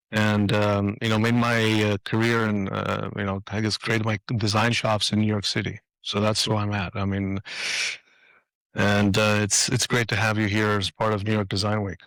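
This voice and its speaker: A man in his fifties from Greece talks as a guest on a podcast, reflective and pausing to restart his sentences. His voice sits low, and the recording is dry and close. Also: fairly bright tone, no echo, rough recording. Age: 50s. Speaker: guest, design leader. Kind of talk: interview answers. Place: apartment.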